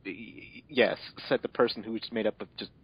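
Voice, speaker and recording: A man's voice: lowish voice, fairly even intonation, normal speed, slightly rough, muffled recording. A man doing a mocking amateur dramatic reading, breaking off into exasperated, sarcastic commentary. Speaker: amateur fan fiction reader and commentator.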